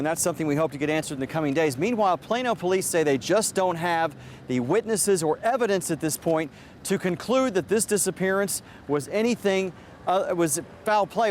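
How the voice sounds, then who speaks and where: A man's voice: on the high side, a little hiss or hum. An American man giving a live television news report as a field reporter, outdoors.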